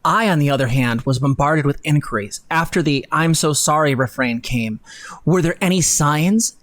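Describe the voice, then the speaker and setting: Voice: mid-range voice, no echo. Close, dry young adult voice reading aloud. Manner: breaks off to comment on the text. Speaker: livestream co-host. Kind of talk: dramatic reading with asides. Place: indoor room, livestream set-up.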